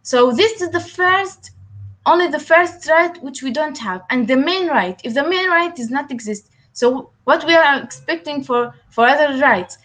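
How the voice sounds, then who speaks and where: A woman with a high voice speaks English as a second language, her first being Arabic. She answers interview questions haltingly and keeps stopping to search for words. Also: expressive, a bit bright. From Palestine. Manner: halting, many fillers and restarts. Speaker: guest.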